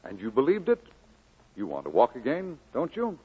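An actor playing a kindly, earnest village preacher, exhorting in a measured 1940s radio style with a husky voice. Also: male voice, mid-range voice, huge pitch swings, exaggerated voice. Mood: encouraging. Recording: narrow, phone-like old radio recording.

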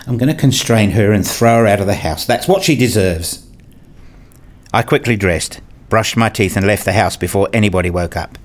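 A man playing an earnest, dryly sarcastic university researcher in a scripted radio play, with a deadpan, formal way of talking. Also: on the low side, normal speed, natural voice. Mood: wounded, resolute. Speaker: narrator and lead actor in a radio play. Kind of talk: first-person scripted radio play narration and dialogue.

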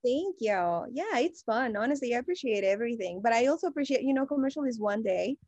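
A woman from Mexico with a Mexican Spanish accent, a guest on a podcast, talking casually in a perky, animated way. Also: on the high side, fast, dry recording, lo-fi sound. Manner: perky, animated, laughs often. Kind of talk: casual conversation.

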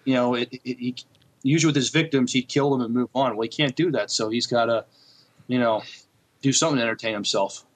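A man co-hosting a podcast, chatting casually about shows in a hesitant, halting way. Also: mid-range voice. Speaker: podcast co-host. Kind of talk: casual chat reviewing TV shows.

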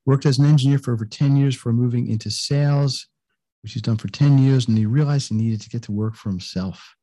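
An older man hosts a conversational podcast interview with a mellow, slightly husky voice at a middling pitch. He is effusive and likes to repeat words for emphasis. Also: a little fast. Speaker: podcast host.

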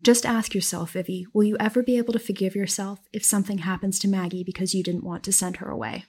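A woman reading aloud as an older sister, a mother herself, speaking gently but firmly. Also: medium pitch, fairly even intonation, fast talker, husky. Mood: urging. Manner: gentle yet firm.

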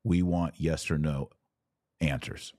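Man, low-pitched voice, podcast host, emphatic reading, colloquial commentary, very dry sound. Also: steady tone, slow pace, mellow, no room sound. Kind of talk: podcast commentary, reading documents aloud with informal asides.

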